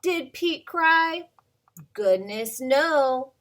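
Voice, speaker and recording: High, lively preschool teacher woman. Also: extremely slow. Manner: playful and expressive, asks the listener questions, drops to whispers. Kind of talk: reading a picture book aloud to young children. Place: at home.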